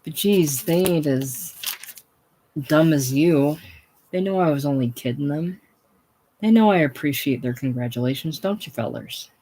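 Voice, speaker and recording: Blustering old bar owner, loud and snappish, as a reader puts him on. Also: mid-range voice, measured pace, slight background noise, natural voice, poor audio. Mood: sheepish. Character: gruff irascible older male saloon keeper.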